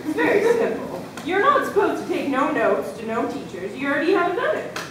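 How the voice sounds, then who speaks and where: A woman's voice: high-pitched, sing-song, fairly quick, distant mic. A woman playing a friendly, easygoing male schoolyard teacher in a readers theatre story. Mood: puzzled, amiable. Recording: echoey room from afar, background noise.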